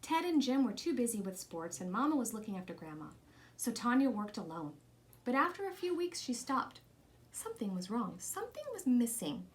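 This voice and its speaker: A woman reading a children's picture book aloud as scripted story narration. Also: medium pitch. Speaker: storybook reader.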